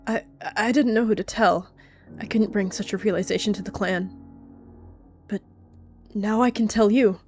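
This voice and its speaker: A woman's voice: medium pitch, steady tone, moderate pace, pauses often, a little mellow, faint music behind, pitched above the performer's own voice, natural voice. A woman voicing an anxious young male tomcat warrior who stammers eagerly. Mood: relieved.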